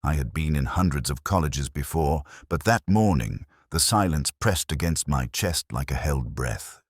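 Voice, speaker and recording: A man narrating a literary first-person detective drama as a melancholy, world-weary investigator, in a measured, brooding, solemn way. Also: extremely low pitch, animated, slightly rough, no room sound, clean studio sound.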